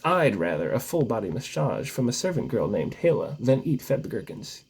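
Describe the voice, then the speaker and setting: A man voicing an indignant, disgusted gentleman who boasts emphatically in a comic storybook reading. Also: medium pitch, very animated, normal speed, husky. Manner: emphatic, indignant one-upping. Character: disgusted gentlemen trading exaggerated gherkin-hating boasts.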